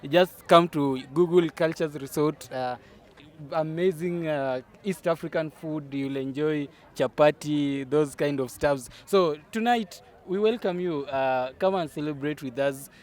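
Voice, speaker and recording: A man on a podcast panel outdoors, welcoming his listeners warmly and stumbling with fillers. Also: a little high, measured pace. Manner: welcoming, hesitant with many fillers. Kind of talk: casual panel chat.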